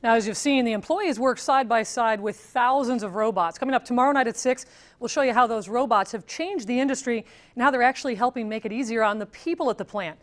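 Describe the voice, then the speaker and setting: A woman's voice: on the high side, fast talker. An American woman delivering scripted television news as a reporter.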